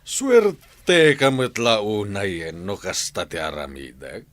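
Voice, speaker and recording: A man's voice: fairly low pitch, lively intonation, slow, dry recording. A man acting a stern, mocking village creditor in a radio comedy drama, insistent and scornful.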